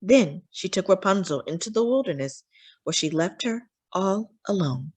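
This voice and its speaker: Expressive woman reading a storybook. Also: lowish voice, normal speed, breathy edge. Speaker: children's read-aloud storyteller.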